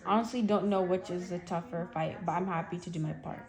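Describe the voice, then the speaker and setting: A teenage girl speaking haltingly, sometimes stumbling over her words as she reads or answers questions. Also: lowish voice, fairly even intonation, crowd noise. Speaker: guest reading an article aloud and answering questions. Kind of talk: halting read-aloud and shy interview answers.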